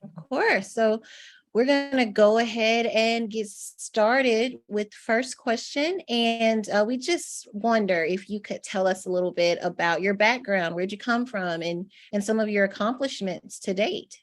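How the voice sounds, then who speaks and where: Woman interviewing, mid-pitched voice. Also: measured pace. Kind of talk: conversational podcast interviewing.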